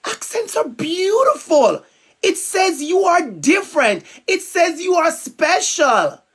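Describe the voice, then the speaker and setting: A man's voice: extremely high pitch, big pitch swings, takes it slowly, fairly bright tone. A man with a Jamaican accent, from Jamaica, giving a playful, emphatic talk to young students as a school guest speaker. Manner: playful, emphatic, calls out to the kids.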